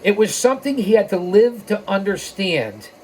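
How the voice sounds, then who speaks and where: A man's voice: sits high, sing-song, moderate pace, darkish tone, rough recording. Emphatic older American man over background noise. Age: 60s. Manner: emphatic, rambling, with sidetracks and direct appeals. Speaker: podcast host. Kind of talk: reading aloud with off-the-cuff commentary.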